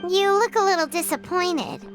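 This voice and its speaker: A woman voicing a tiny, childlike fairy companion in an anime video game, squeaky, chatty and curious, with exclamatory delivery. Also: normal speed, rough recording. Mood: inquisitive. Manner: exclamatory, speaks of herself by name. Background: background music.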